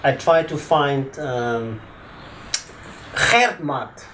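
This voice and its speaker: A man's voice: medium pitch, lively intonation, very slow, a bit bright, slight background noise. A man in his fifties with a South African accent, talking in a loose, conversational way with many fillers. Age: 50s. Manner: conversational, rambling, full of fillers like "sort of". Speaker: interviewee, attorney. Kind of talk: casual oral-history interview.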